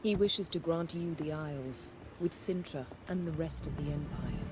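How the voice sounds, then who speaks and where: A woman's voice: sits low, moderate pace, noisy background, close to an everyday voice. A woman with a British accent playing a cool, commanding sorceress who speaks formally in a fantasy video game. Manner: formal, authoritative. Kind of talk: video game cutscene acting.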